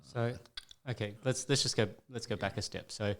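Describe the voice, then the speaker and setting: A man hosts a podcast conversation with his father, keeping it casual. His voice sits a little on the low side. He steers the talk with prompting follow-up questions and often restarts his phrasing mid-sentence. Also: fairly even intonation, moderate pace, fairly bright tone.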